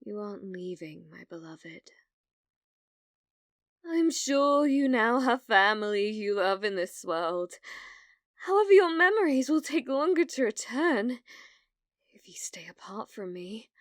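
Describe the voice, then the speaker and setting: A woman's voice: a little high, sing-song, unhurried, pauses often, dry recording, studio quality. A woman acting in an ASMR roleplay as a delusional, possessive young woman who calls herself a reincarnated demon lord, speaking in a theatrical, formal, lovestruck way. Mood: clingy, insistent.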